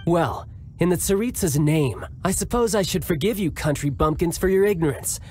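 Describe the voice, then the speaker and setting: An actor playing a suave, smug young fighter in a video game cutscene, talking in a smooth, mocking, politely condescending way. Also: male voice, a little high, lively intonation, normal speed, a little mellow, faint music behind.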